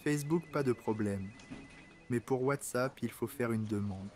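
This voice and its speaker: A man reading scripted workplace dialogues for French learners, in a plain, clear way. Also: mid-range voice, normal speed, darkish tone, soft background music, close to an everyday voice.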